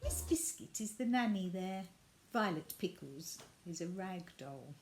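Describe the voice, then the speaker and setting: A woman reading a children's storybook aloud as an expressive narrator. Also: mid-range voice. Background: added sound effects and faint noise.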